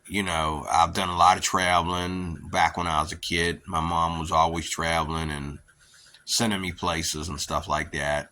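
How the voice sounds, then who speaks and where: A man's voice: low-pitched, sharp tone. A man from near Pittsburgh with a Pittsburgh accent, rambling and repeating himself emphatically in a casual monologue. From near Pittsburgh, USA. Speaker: vlogger.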